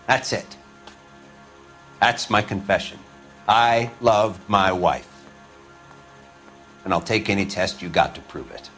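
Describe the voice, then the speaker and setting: A man's voice: lowish voice, takes it slowly, pauses often, fairly bright tone, soft background music. An actor playing a browbeaten American husband in a crime game, a wounded, defensive suspect pleading his case in plain words. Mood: weary confession. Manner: pleading, plain-spoken, self-pitying. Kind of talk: dramatic video game acting.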